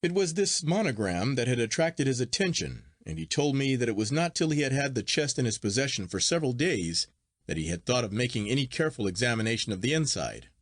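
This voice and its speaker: A man narrates classic fiction in a medium-pitched voice with lively, wide-moving intonation. His delivery is measured, articulate and expressive, clearly scripted storytelling. The sound is dry, with no room around it. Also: no echo. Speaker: audiobook reader.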